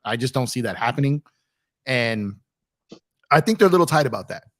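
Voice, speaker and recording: An American man hosts a casual sports stream, with a hint of New York in his speech. He talks in slangy, animated, repetitive runs. The sound is very dry, with no room to it. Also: medium pitch, brisk, dry recording. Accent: American with a touch of New York. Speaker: sports talk show host. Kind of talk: casual sports chat on a livestream.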